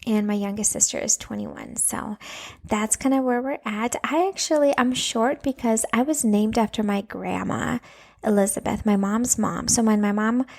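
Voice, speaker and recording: A woman's voice: a little high, moderate pace, gravelly, darkish tone. A woman in her thirties, born in Latvia with Russian as her first language, hosting a podcast in an earnest, chatty, encouraging way. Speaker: podcast host, anger coach for moms. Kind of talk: casual solo podcast chat.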